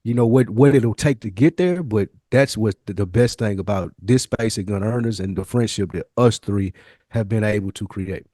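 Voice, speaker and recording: Low-voiced man in earnest casual chat. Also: moderate pace, mellow, no room sound, studio quality. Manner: slangy, earnest, conversational. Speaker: podcast co-host.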